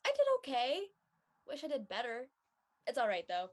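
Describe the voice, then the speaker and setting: A woman's voice: on the high side, huge pitch swings, moderate pace. A woman playing a supportive, slightly worried teenage student with casual slang in a scripted drama. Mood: mild, concerned.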